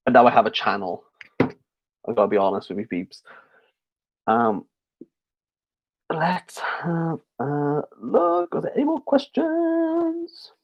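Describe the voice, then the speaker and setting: A man's voice: on the high side, very animated, slow, gravelly, long pauses. A man hosting a casual livestream Q&A about books, chatty and rambling with lots of fillers and restarts, a little tipsy. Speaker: book channel host. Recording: slightly dull lo-fi stream audio.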